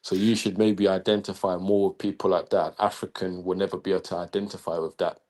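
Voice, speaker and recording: A man's voice: low voice, level tone, a little mellow. A man speaking as a guest on an online talk stream, explaining his views in an assertive, lecturing way. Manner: assertive, lecturing, flowing with few pauses. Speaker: guest caller. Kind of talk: casual online talk-show chat.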